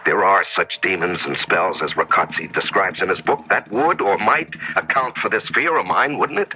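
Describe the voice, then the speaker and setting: An actor playing a desperate, fear-haunted journalist in a 1970s radio drama, agitated, halting and pleading. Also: male voice, deep voice, natural voice. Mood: defensive, uneasy. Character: desperate, fear-haunted male journalist under a death curse. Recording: dull, noisy 1970s radio broadcast.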